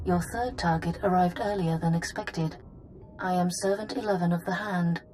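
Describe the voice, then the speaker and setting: A woman's voice: fairly low pitch, steady tone, normal speed, a little mellow, slight background noise, natural voice. A woman voicing a solemn servant of a secretive order in a video game, speaking in a clipped, formal, detached way.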